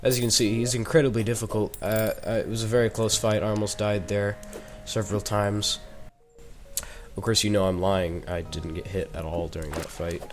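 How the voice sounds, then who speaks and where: A man's voice: on the low side, flat delivery, moderate pace, faint music behind. Teen boy gamer with a head cold. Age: teens. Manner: chatty and rambling, with joking asides. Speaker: gaming YouTuber. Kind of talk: casual gameplay commentary.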